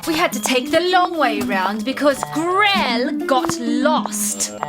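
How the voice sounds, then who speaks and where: A woman playing a brash, excitable, haughty aristocratic lady in an anime-style manga dub. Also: high voice, slow. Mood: incredulous. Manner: dramatic, haughty, exclamatory. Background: music.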